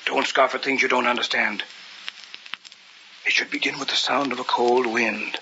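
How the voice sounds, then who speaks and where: An actor playing a zealous, commanding occultist in a theatrical, urgent old-time radio horror style. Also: male voice, medium pitch, fairly even intonation, moderate pace, bright, forceful tone, slight background noise. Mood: tense, hushed. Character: zealous, commanding male occultist, obsessed with a ritual. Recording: dull old radio recording, music behind.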